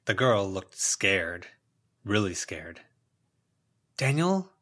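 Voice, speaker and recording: A man's voice: lowish voice, measured pace, fairly bright tone. A man reading fiction as an audiobook narrator, in a scripted storytelling style. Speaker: audiobook reader.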